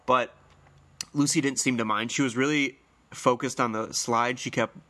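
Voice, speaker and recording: A man in his thirties hosts a casual solo podcast with a congested, slightly nasal and hoarse voice. His tone is bright, and he tells stories in a rambling, friendly way with plenty of fillers. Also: mid-range voice. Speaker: podcast host.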